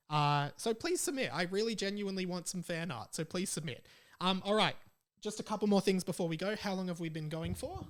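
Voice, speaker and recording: A man hosting a solo podcast in a casual, jokey way, repeating phrases for emphasis. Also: high voice. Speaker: podcast host.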